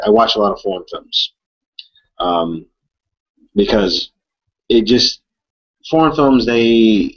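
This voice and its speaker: Low-voiced man talking film, halting delivery. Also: husky. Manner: halting, searching for words. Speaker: movie review co-host. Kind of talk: casual film discussion. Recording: dull lo-fi recording.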